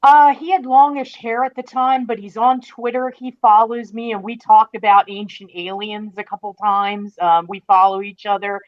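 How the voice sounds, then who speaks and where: A woman's voice: mid-range voice, steady tone, moderate pace, hardly any pauses. A woman guesting on a podcast, chatting casually and with enthusiasm. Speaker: podcast guest, entertainment writer. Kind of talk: casual chat. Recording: slightly dull, lo-fi call audio.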